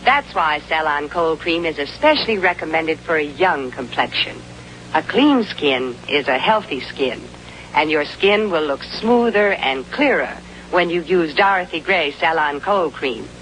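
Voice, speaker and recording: Woman, vintage commercial announcer, lowish pitch, animated intonation, faint hum and noise. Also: a little slow, low-quality recording. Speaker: advertising announcer.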